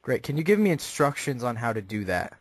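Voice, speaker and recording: A man on a phone call as a customer, asking naive-sounding questions in a deadpan way. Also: mid-range voice, fairly quick, no room sound. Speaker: prank caller posing as a customer.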